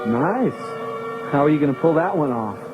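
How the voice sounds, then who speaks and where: An actor playing an easygoing young American rebel pilot with a casual, youthful, teasing manner in an audio drama. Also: male voice, on the high side, sing-song, moderate pace, dull audio, music in the background. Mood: curious, eager.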